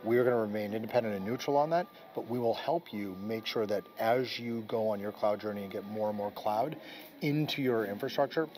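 Fast-talking executive man, lapel mic. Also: fairly low pitch, darkish tone, slight background noise. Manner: fast, restarts phrases, business jargon. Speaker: software executive guest. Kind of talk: conference interview. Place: conference room with a curtain backdrop.

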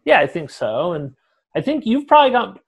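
A man's voice: high voice, sing-song, moderate pace, creaky, breathy edge, highs cut off. Chatty young man hosting a video-call interview. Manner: chatty, rambling with restarts. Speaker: podcast host. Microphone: headphones on video call.

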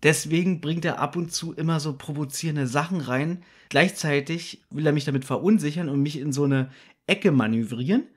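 Man with a slightly high voice. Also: moderate pace, husky. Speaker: podcast co-host. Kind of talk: casual podcast discussion.

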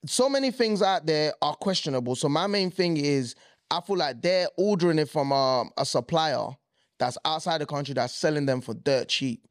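A young man chatting on a podcast, his voice sitting a bit high for a man. He talks with animated energy, waving his hands as he makes his points. The sound is close and dry, from a small studio set. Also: normal speed, no echo. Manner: animated, gestures a lot while talking. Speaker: podcast co-host. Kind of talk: casual chat. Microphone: desk mic on boom arm.

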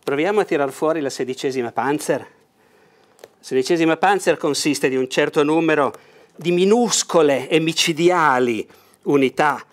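An older man presenting a board war game in a bright indoor room, talking with enthusiasm, leaning over the table and gesturing as he explains. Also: a little high. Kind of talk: enthusiastic unboxing talk.

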